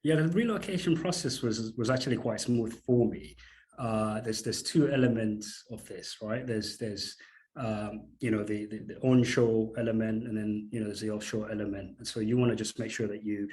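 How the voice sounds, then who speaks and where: A man from Uganda speaks in a fairly low voice. He answers in an interview, circling back over his words with plenty of fillers and repeats. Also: steady tone, normal speed, darkish tone. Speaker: island resident professional.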